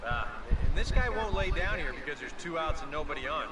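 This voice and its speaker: Male color analyst for video game baseball, conversational and explanatory, high-pitched, buried in loud background noise. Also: expressive, a little fast. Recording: distant game audio, echoey and very noisy.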